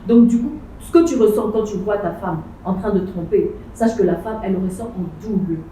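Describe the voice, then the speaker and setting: A young woman presses her point in a casual debate, circling back and repeating herself, with English and Lingala words dropped in. Her voice is warm and mellow. It is picked up from a distance in a very echoey space, with faint noise behind. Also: mid-range voice, a little fast. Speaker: panel guest. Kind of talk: casual debate on a street panel.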